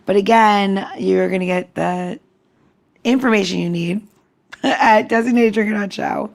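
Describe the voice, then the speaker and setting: A woman's voice: mid-range voice, fairly even intonation, a little slow, creaky. A woman co-hosting a podcast as its bartender, chatting casually in an informal, jokey way. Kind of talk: casual chat.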